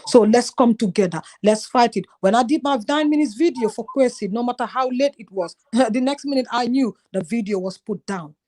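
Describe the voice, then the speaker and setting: A woman's voice: on the high side, brisk, few pauses, mellow. A woman from Gambia, a YouTuber, speaking with passion in a casual group discussion and often quoting herself. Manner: passionate, emphatic storytelling, quotes herself often.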